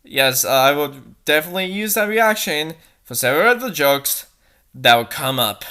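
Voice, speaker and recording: A woman's voice: low voice, animated, moderate pace, pushed and bright. A woman narrating bad fan fiction with theatrical, sarcastic delivery and commenting as she goes. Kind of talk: mocking dramatic fanfic reading.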